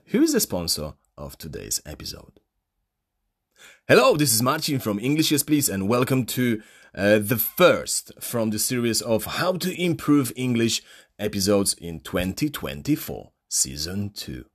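Podcast host: Polish-accented man, fairly low voice, very animated delivery, teacherly solo talk into a phone. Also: a little slow. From Poland. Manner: animated, rhetorical questions, frequent fillers.

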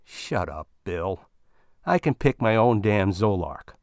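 A gruff, fed-up space miner who answers in curt, clipped lines, voiced by a man reading the story. The sound is close and dry, with no room around it. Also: on the low side, expressive, slow pace, darkish tone, dry recording.

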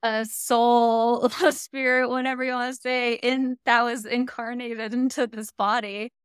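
Woman, American, podcast host, chatty, reflective, smooth voice, dry recording. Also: a little high, flat delivery, a little slow, dry recording. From United States. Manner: chatty and reflective, with frequent "like" and soft laughs. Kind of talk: casual interview chat.

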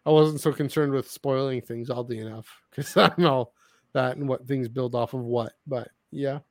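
A man's voice: medium pitch, fairly even intonation, darkish tone, no room sound, studio quality. A man co-hosting a podcast in casual discussion, rambling and often cutting into his own sentences. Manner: halting, self-interrupting, rambling. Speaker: podcast co-host. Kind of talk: casual discussion of franchise books and comics.

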